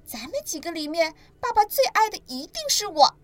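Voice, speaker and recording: A woman's voice: very high-pitched, expressive, fairly quick, fairly bright tone, pitched above the performer's own voice. A woman doing a smug baby dinosaur. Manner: smug, bragging, childish. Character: boastful little baby ankylosaur.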